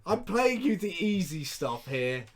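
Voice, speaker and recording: A man's voice: high voice, very animated, unhurried, crowd noise. A man co-hosting a casual gaming podcast, telling jokey, sweary anecdotes and acting out the dialogue. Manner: sweary, jokey storyteller who acts out dialogue. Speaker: podcast co-host.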